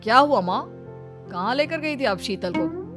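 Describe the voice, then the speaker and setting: A woman's voice: high voice, expressive, normal speed, over music. A woman voicing a young husband and dutiful son in a family cartoon, placating and reluctant. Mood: concerned. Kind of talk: Hindi family cartoon acting.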